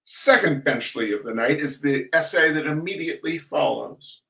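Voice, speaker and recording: A man reading humorous essays aloud in a deliberate, theatrical way. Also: fairly high pitch, gravelly, sharp tone. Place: echoey room, recorded at a distance from the mic. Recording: echoey room, distant microphone.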